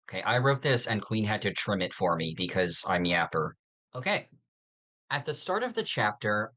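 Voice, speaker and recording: A man's voice: lowish voice, fairly bright tone. A man co-hosting a podcast in a casual, conversational style. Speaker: podcast co-host.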